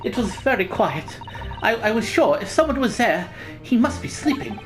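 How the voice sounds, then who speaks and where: Young Russian woman acted by a woman, game character, anxious and confessional, Russian accent, animated intonation. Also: fairly high pitch, normal speed, over music. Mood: worried. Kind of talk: reading game dialogue aloud in character.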